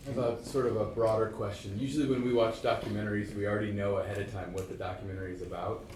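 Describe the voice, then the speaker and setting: A man in the audience asks a thoughtful, measured question at a festival Q&A. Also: on the low side, flat delivery, darkish tone. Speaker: audience member. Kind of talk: asking a question at a Q&A. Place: screening hall. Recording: distant echoey hall, noise.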